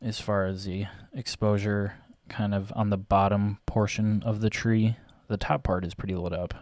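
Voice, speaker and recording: A young man with a low voice talks through his photo editing in a loose, halting ramble, full of fillers and throat-clearing. His voice has gone a little raspy from talking. The sound is close and dry on a desk mic. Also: level tone, darkish tone, no echo. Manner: hesitant, many fillers and restarts, clears throat often. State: voice getting raspy. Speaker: photographer and vlogger. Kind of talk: casual rambling commentary while photo editing.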